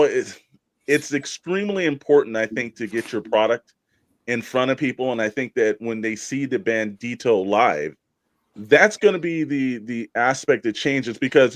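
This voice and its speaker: A man with a mid-pitched voice hosts a casual panel show. He talks conversationally, and the sound is close and dry, with very little room on it. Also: no room sound. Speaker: show host.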